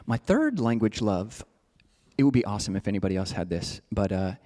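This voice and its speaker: A man's voice: lowish voice, sing-song, fairly quick, mellow, no echo, studio quality. A man from the United States, a Buddhist monk giving a casual, playful dharma talk. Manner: playful, chatty, breaks into joking slang voices.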